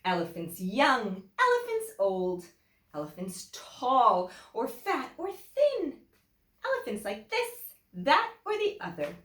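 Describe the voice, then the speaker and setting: A woman teacher reading a children's picture book aloud in an expressive, deliberate way, with emphatic phrasing for young listeners. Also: on the high side. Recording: echoey room.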